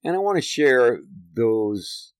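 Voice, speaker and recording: A man from the United States telling nostalgic family stories in a fond, lively way, chuckling now and then. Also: mid-range voice, takes it slowly, clear, resonant tone, no echo. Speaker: storyteller. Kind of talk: nostalgic personal narration.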